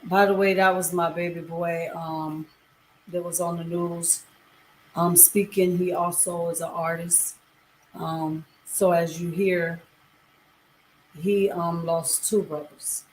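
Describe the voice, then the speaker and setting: A middle-aged woman chats casually as a storytime vlogger. Her voice is lowish and quite even, at an unhurried pace. The recording is echoey with some background noise. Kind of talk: personal storytelling to camera, casual chat. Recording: roomy echo with background noise.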